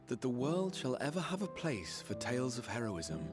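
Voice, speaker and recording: Casting: male actor as weary noble game hero, mid-pitched, very expressive range, eloquent, music beneath. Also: lightly acted. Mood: wistful, hopeful. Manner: formal, eloquent, measured storytelling. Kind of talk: dramatic video game voice acting.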